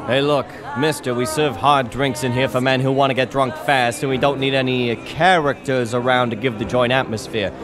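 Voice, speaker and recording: A man's voice: mid-range voice, fairly even intonation, normal speed, hardly any pauses, lightly acted. An actor playing a surly, threatening bartender with belligerent, wisecracking tough-guy talk in a radio play. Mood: gruff, impatient. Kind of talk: old-time radio drama acting. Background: music.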